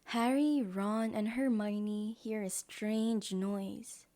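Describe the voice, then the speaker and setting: A woman's voice: medium pitch, fairly even intonation, drawn-out words, dark tone. A woman narrating a scripted audio drama as its storyteller.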